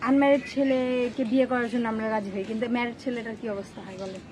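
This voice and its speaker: A woman's voice: fairly high pitch, steady tone, fast talker, a little mellow, slight background noise, rough recording. A twenty-year-old woman with a Bangladeshi accent, answering casual interview questions about her personal life. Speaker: interview guest.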